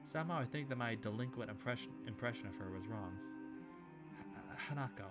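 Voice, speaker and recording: A man's voice: mid-range voice, lively intonation, fast, over loud music. A man casually reading a visual novel aloud and commenting as he plays, often restarting phrases. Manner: casual, with fillers and repeated restarts. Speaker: gaming YouTuber.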